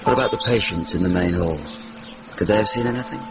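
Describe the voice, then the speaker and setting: An actor playing a practical, candid medieval lord and deputy sheriff in a radio drama, direct and matter-of-fact. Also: male voice, lowish voice, fast, over loud music, close to an everyday voice. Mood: frank. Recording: noisy radio drama recording.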